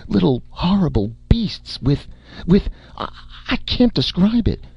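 A man's voice: high voice, sing-song, measured pace, creaky, husky, no echo, pitched above the performer's own voice, full character voice. A man reading aloud, voicing a terrified mother who stammers in panic, in a slightly muffled dry recording. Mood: panicked. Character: terrified woman, frantic mother.

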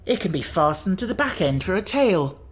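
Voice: mid-range voice, sing-song, brisk, slightly rough, pitched above the performer's own voice. A storybook reader voicing a naive, eager pumpkin-headed man with an earnest, questioning manner. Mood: insistent.

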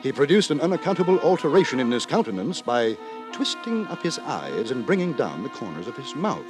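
An actor playing a prim, moralising gentleman who narrates in wordy, formal, mock-solemn 1950s radio drama style. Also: mid-range voice, big pitch swings, fairly quick, lightly acted. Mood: wry narration. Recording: 1950s radio, music behind.

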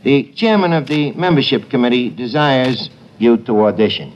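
A man playing a wisecracking, uneducated tavern manager with a slangy, deadpan, sarcastic way of talking, in 1950s radio comedy style. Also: mid-range voice, normal speed, natural voice. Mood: wry, cajoling. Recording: noisy, muffled old radio broadcast, studio audience.

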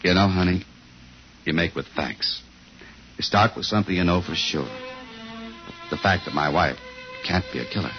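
An American actor playing a tough, cool husband turned detective in a 1950s radio thriller, with clipped, deadpan, accusing delivery. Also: male voice, low voice, normal speed, long pauses. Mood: tender, wry. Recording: muffled old radio recording with music.

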